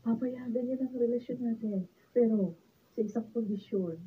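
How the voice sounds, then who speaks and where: An emotional young sweetheart in a Filipino radio drama. Her voice is fairly high and full of devotion. It comes through thin and phone-like. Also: moderate pace, breathy edge. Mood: tender, emotional. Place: radio drama recording studio, behind-the-scenes video. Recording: phone-like lo-fi sound, faint noise.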